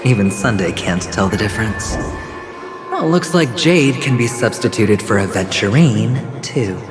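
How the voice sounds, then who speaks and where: Slow, guarded young gambler, echoing. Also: male voice, lowish voice, animated. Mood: dry, resigned. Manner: subdued, measured, terse. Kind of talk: restrained video game voice acting. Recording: echoing, with music behind.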